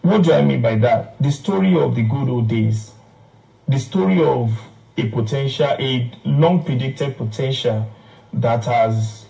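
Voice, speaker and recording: A Nigerian man giving spoken opinion commentary, halting and repeating phrases as he builds his point. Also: mid-range voice, gravelly, warm tone, poor audio.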